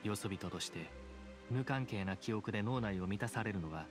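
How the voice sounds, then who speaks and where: A man acting a deep-voiced, solemn judge. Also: lively intonation, over music, lightly acted. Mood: calm, reflective. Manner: formal, measured, reserved. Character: solemn, dignified supreme judge, an aloof grown man. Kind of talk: Japanese game voice acting.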